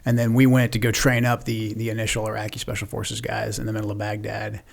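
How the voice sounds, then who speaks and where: A man's voice: on the low side, little pitch movement, fast, creaky. A middle-aged American man, a military veteran, recounting deployments casually as a podcast guest.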